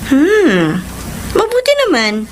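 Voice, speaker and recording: A woman's voice: sits high, wildly swooping pitch, slow pace, a little hiss or hum, exaggerated voice. An actress playing an easygoing, self-assured female friend in a Tagalog radio drama. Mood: breezy, self-assured.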